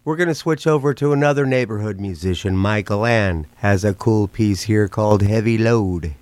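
A man's voice: fairly low pitch, moderate pace, darkish tone, dry recording. A man hosting a radio show in a casual, conversational style.

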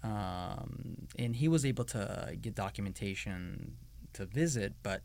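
A middle-aged man born in Iran answers an interviewer's questions about family and heritage. His voice is low and gravelly with a fair amount of fry. He talks haltingly, filling gaps with ums and uhs and restarting sentences. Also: animated, darkish tone.

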